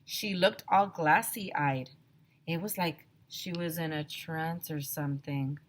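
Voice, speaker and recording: A woman's voice: deep voice, slow pace, slight background noise. A woman teacher reading a children's story aloud expressively and talking directly to her young listeners. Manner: animated, addresses children directly.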